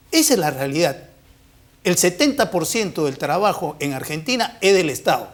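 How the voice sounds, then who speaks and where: A political panelist giving emphatic, repetitive opinions in unscripted talk. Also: medium pitch.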